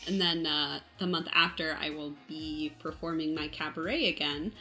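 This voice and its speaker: A woman performer chats casually on a podcast. Her voice sits a little low but sounds bright and crisp, with faint music present. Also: steady tone, moderate pace. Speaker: performer, singer.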